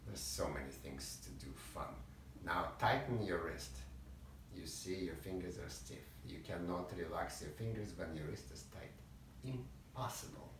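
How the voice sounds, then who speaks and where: A man's voice: low voice, animated, moderate pace, fairly bright tone. Middle-aged Russian-accented male violin teacher, patient and coaxing; distant, echoey, noisy room recording. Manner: patient, coaxing, slightly broken English. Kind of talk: private music lesson.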